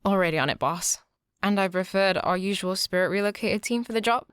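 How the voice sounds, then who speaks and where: A woman playing a brisk, efficient ghost-team assistant in an audio roleplay, businesslike. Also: medium pitch, fairly bright tone, smooth, dry recording, clean studio sound, lightly acted.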